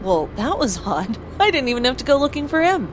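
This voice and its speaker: Woman as young space pilot narrating a radio drama, earnest, very expressive, noisy background. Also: sits high, fairly quick. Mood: reflective narration. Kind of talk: first-person audio drama narration. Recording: clear vehicle ambience behind voice.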